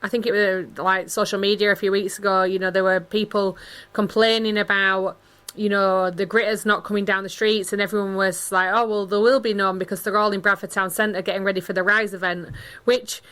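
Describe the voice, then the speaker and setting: Casual Northern English woman, medium pitch. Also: little pitch movement, a little fast, fairly bright tone. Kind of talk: casual conversational chat.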